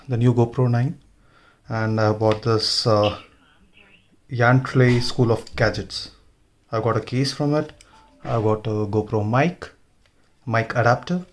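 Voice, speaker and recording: A man's voice: mid-range voice, a little hiss or hum. A man giving an informal hands-on tutorial, thinking aloud as he works. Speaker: motovlogger demonstrating gear installation. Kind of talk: casual hands-on tutorial narration.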